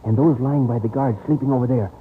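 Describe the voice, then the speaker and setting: An actor playing an anxious, deferential Mexican sidekick with a Mexican Spanish accent and careful, polite English in a radio western. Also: male voice, mid-range voice, quick pace, slight background noise, natural voice. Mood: earnest. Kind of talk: old-time radio western acting. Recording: tinny, narrow old radio recording.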